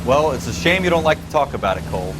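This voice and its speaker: An actor playing a brisk, streetwise patrol cop in a video game drama, with a plain, tough manner. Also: male voice, mid-range voice, big pitch swings, background noise, lightly acted. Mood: easygoing.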